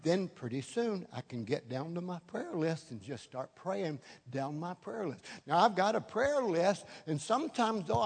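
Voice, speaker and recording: A man in his seventies delivers a charismatic sermon in a notably high-pitched voice. He repeats phrases for emphasis, and his words ring with the long reverb of a hall. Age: 70s. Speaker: preacher.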